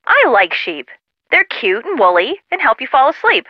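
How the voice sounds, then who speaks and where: A woman's voice: sits high, big pitch swings, moderate pace, dry recording. An actress playing an unsentimental, tomboyish young woman, dry and teasing, heard through a telephone filter. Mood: wry. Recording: muffled, lo-fi phone-call sound.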